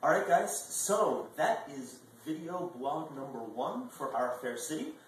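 A man's voice: on the high side, big pitch swings, measured pace. Young man in a very echoey room. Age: 20s. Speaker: video blog host. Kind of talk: casual chat to camera. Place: indoor dining room at a table. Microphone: far camera microphone. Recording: echoey room, distant mic, faint noise.

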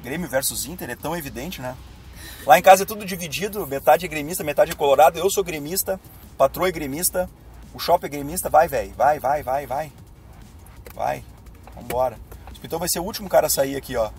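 A young man with a southern Brazilian gaucho accent, a bit hoarse from a cold, chatting to viewers while driving through city traffic in a car. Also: fairly high pitch, fairly quick, a little mellow. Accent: southern Brazilian, Rio Grande do Sul. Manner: chatty, addresses viewers directly. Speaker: YouTuber. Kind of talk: casual driving vlog chat. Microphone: camera mounted on dashboard.

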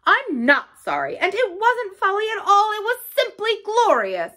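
Woman voicing an excitable, high-pitched gentleman toad. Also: normal speed. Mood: sullen, defiant. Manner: flippant, emphatic, gushing. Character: boastful, stubborn, excitable male toad gentleman.

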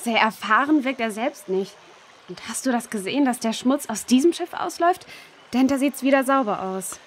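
A woman's voice: fairly high pitch, lively intonation, normal speed. An actress playing an observant, questioning young girl adventurer in a children's audio drama. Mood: wary, questioning.

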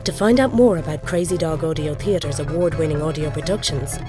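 A woman announcer with a very low voice reads scripted credits. Music plays clearly behind her. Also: fairly quick.

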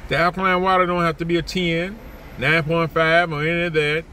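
A high-pitched, bright-voiced older man delivers an exasperated vlog rant from the seat of a parked car. He repeats his points and jumps higher whenever something annoys him. Faint noise from the street outside the car sits under his voice. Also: a little fast. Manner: animated, indignant, repeats himself, jumps up in pitch when worked up. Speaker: vlogger. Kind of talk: ranting car vlog to camera. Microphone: phone camera at arm's length.

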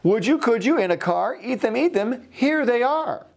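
Man as an eager, high-pitched storybook pest. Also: normal speed, gravelly, exaggerated voice. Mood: excited coaxing. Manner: coaxing rhyming questions, high and lively. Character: persistent, eager storybook pest pushing food. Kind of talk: animated children's storybook reading.

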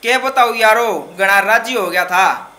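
Young haryanvi guy doing shayari at an open mic, really sharp forceful voice, pretty high. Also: unhurried. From Haryana, India. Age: 20s. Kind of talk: shayari poetry recital. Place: small dark indoor open-mic stage. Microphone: microphone on stand close in front.

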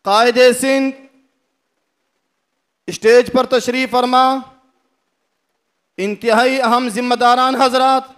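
A middle-aged man with a Pakistani Urdu accent delivers a fiery speech from a lectern, his voice pitched very high. He speaks slowly and deliberately, pushing out shouted lines and rhetorical questions to a large indoor crowd. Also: clean tone. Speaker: religious party speaker. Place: large packed hall, indoors. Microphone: lectern mic.

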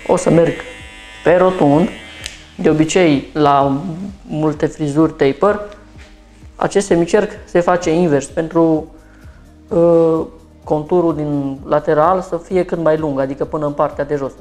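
A man's voice: a little high, steady tone, darkish tone, faint music behind. A man in his thirties from Romania, a barber who explains each step of a haircut while he works, talking through it in a small shop.

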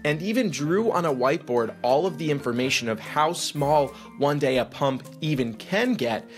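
An upbeat man in his thirties walks through how a gadget works, explaining each part clearly. He adds the odd light joke. He speaks close to a boom-arm mic in a small studio room with faint music underneath. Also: expressive, moderate pace. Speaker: vlog host. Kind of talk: explainer narration.

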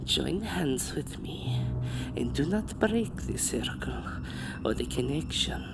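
An actress playing an eerie old woman who is a spirit medium, speaking slowly and ominously in an audio drama. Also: low voice, lively intonation, creaky, over loud music, exaggerated voice. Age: older.

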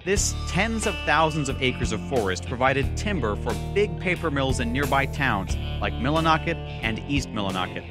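A man's voice: medium pitch, big pitch swings, moderate pace, few pauses, music in the background. A man narrating a travel news feature in scripted voice-over, with wry, dry humor. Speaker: travel show host and narrator.

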